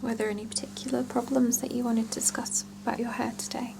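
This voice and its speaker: A woman whispering softly in an ASMR roleplay as a salon scalp therapist, chatting and explaining gently and without hurry. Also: a little high, flat delivery, fast talker, noisy background. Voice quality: soft breathy whisper with creaky edges.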